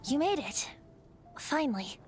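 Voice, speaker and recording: Carefree, ageless bard boy for a game, youthful, raised pitch, breezy storytelling over light music. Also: very animated, a little slow. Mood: cheerful, playful. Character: ancient wind spirit as playful, carefree boyish bard. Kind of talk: video game voice acting.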